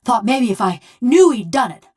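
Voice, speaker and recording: A woman's voice: a little high, very animated, moderate pace, a little mellow. An actress playing a bitter, vengeful female killer trapped in a metal body, speaking folksy rustic game dialogue in a wry, brooding way. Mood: haunted, vengeful. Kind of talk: scripted video game character dialogue.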